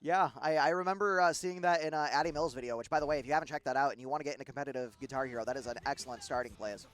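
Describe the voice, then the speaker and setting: A man's voice: medium pitch, rapid-fire, fairly bright tone. A young man with a Midwestern accent, commentating a live gaming tournament in a rambling way, with fillers and restarts. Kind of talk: live esports play-by-play commentary. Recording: guitar game music underneath.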